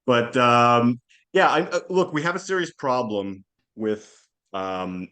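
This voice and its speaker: Man guest, hebrew his first language, halting and thoughtful, restarts a lot. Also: mid-range voice, animated, fairly bright tone. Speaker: podcast guest, historian. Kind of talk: conversational interview.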